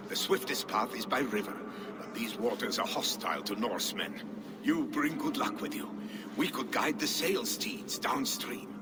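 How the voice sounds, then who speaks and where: An actor playing a loyal Norse clansman who speaks in a formal, measured way. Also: medium pitch. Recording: game audio with long reverb.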